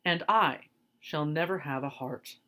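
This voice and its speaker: A woman voicing a kind, gentle male tin woodman with a loyal manner. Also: low voice, measured pace.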